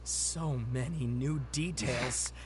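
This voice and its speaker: A woman's voice: rumbling low, slow pace, noisy background. A woman playing a polite, earnest young researcher in a fantasy video game. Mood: polite, grateful.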